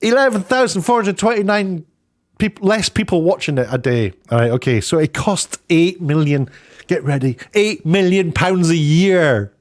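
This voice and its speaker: A man giving informal, opinionated commentary in an emphatic, halting, sardonic way. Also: sits high. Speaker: online commentator.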